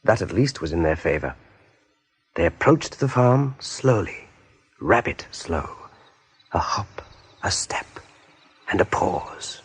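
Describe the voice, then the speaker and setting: An actor as the storyteller of a radio play, narrating in measured, dramatic phrases. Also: male voice, low voice, very animated, exaggerated voice. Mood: hushed, suspenseful. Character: measured, suspenseful male storyteller.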